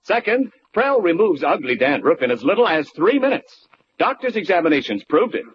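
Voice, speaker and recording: A man delivering a scripted sales pitch as an announcer in a vintage radio advertisement. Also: very animated, moderate pace. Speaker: commercial announcer. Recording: dull, faintly hissy old radio.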